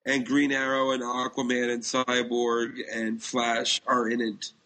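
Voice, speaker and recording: A man's voice: mid-range voice, completely flat delivery, a little slow, pushed and bright, rough recording. A man co-hosting a podcast in casual chat, speaking in an opinionated way. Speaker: podcast co-host. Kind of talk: casual chat about TV shows.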